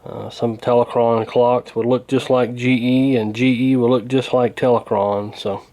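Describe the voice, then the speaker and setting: A man's voice: medium pitch, flat delivery, a little slow, dark tone. A man talking casually and hesitantly through a hands-on repair, in a folksy, unscripted way. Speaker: radio repair hobbyist, presenter.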